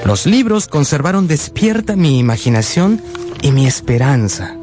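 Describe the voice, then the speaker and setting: A sensitive young man tells his own story in a radio drama, played by an actor. His voice is slightly high and very lively in intonation, with music clearly behind it. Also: moderate pace, close to an everyday voice. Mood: pained. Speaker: narrator. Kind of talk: dramatised first-person narration for radio.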